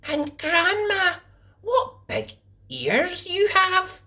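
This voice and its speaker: A woman's voice: huge pitch swings, extremely slow, bright, forceful tone, exaggerated voice. Woman doing a wide-eyed little girl. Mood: wondering. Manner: high, wide-eyed, earnest.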